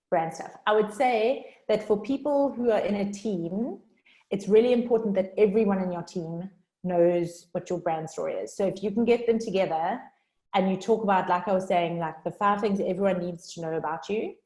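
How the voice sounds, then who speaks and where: A woman with a South African accent giving a chatty workshop talk on a video call, talking fast and informally. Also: lowish voice, darkish tone. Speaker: workshop speaker. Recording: roomy echo.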